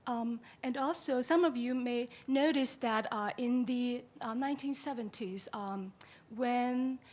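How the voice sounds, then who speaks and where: A woman with a fairly high voice presents from a podium mic in a big conference hall. She fills her pauses with "um" and "uh" as she talks through her slides. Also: a little mellow. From China. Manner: many "um" and "uh" fillers. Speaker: conference speaker.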